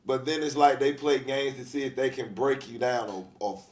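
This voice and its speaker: A man's voice: medium pitch, fairly even intonation. Earnest, rambling man in an echoey room. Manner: rambling, halting restarts, earnest. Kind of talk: casual podcast conversation.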